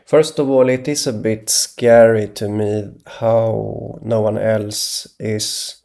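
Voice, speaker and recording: A man from Sweden, talking hesitantly in a rambling solo monologue, with many pauses and restarts. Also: on the low side, level tone, creaky, dark tone. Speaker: video blogger.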